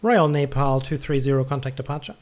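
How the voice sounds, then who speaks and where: A man's voice: mid-range voice, a little fast, a little mellow. A man streaming as an air traffic controller, giving clipped procedural commands mixed with casual muttered asides.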